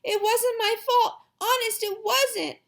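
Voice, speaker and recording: Whiny dramatic schoolgirl, shrill n high, big swoops, kids audiobook. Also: big pitch swings, a little slow, heavily acted. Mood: whiny, defensive. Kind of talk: children's audiobook character voice.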